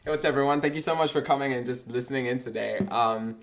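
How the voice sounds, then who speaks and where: A young man with a South African accent, a guest performer chatting casually between songs, relaxed and full of fillers. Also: mid-range voice, fast. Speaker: guest performer, singer-guitarist.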